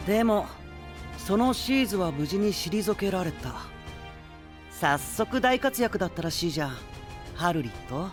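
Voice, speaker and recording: A man playing a shrewd, poised young knight in an anime audio drama, measured and faintly theatrical, with a slight tease. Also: sits high, lively intonation, music in the background. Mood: composed.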